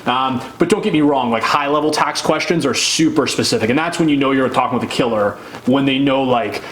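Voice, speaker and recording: A man's voice: medium pitch, fairly quick, fairly bright tone. Young guy guest on an interview, super casual slangy talk, roomy echo, bit of noise. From Canada. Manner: informal, slangy, frequent "like". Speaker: interview guest, real estate investor. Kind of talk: casual, unscripted interview chat.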